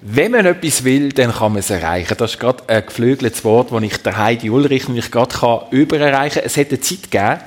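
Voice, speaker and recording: A man's voice: mid-range voice, lively intonation, fast talker, few pauses. A man with a Swiss German accent hosting a talk and guiding the guests' stories with encouraging remarks. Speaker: host. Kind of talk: conversational moderation.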